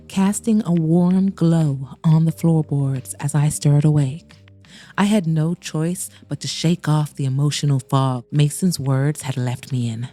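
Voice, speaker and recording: Mellow, low-voiced woman narrating romance. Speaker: podcast host and audiobook narrator. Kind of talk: intimate scripted audiobook narration.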